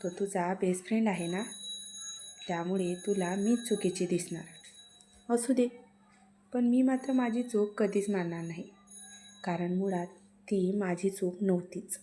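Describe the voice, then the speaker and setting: A woman's voice: mid-range voice, steady tone, a little slow, pauses often, soft background music, lightly acted. A woman voicing a fiery, proud college girl. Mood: sulky. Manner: sharp, bossy, raised voice. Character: quick-tempered proud young college woman.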